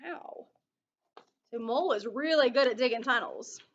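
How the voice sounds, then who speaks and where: A woman's voice: on the high side, normal speed, fairly bright tone, highs cut off. A woman reading a children's story aloud in a teacherly way, stopping to put questions to her young listeners. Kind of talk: expressive children's read-aloud with asides and questions.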